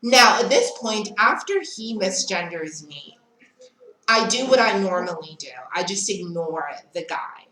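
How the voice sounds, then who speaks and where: Slow-talking South African woman, echoey room. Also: medium pitch, lively intonation, pushed and bright, a little hiss or hum. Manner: chatty retelling, frank and unbothered. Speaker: vlogger. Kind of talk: casual storytime vlog.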